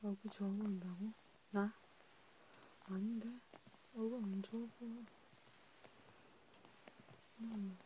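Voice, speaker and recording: A woman speaking in a soft, breathy, often whispered murmur, gently and hesitantly, as if chatting one-to-one in an ASMR roleplay. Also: mid-range voice, fairly even intonation, normal speed, a little hiss or hum, poor audio. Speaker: ASMR host.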